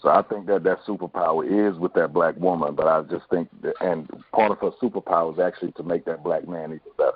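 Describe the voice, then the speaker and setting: Low, husky man, phone-like sound. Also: fairly even intonation, fast, hardly any pauses. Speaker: podcast guest. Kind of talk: conversational panel discussion. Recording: tinny phone-like sound, very dry.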